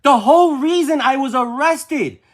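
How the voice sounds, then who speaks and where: Phone-argument caller: high pitch, pressing and repetitive, audibly exasperated. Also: normal speed. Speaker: nephew or niece on a phone call with an aunt. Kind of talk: heated family argument on a phone call.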